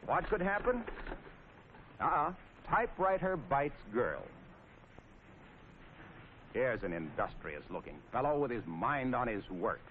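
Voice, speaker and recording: A man's voice: mid-range voice, sing-song, unhurried, long pauses. An American man narrating in 1940s training film style, wry, folksy and playfully sarcastic. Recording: scratchy, tinny 1940s film soundtrack with noise.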